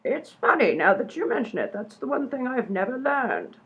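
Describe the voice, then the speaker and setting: A woman's voice: high-pitched, big pitch swings, moderate pace, slightly rough, full character voice. A woman playing a pompous, mystical psychic in a comic radio play, delivering theatrical, portentous pronouncements. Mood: matter-of-fact. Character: grandiose mystical female psychic, solemn and pompous. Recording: dull, lo-fi sound.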